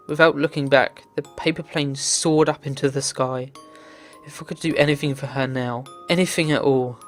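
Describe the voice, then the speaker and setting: A man reading visual novel text aloud in an earnest, theatrical way as a game playthrough host. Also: medium pitch. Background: game music.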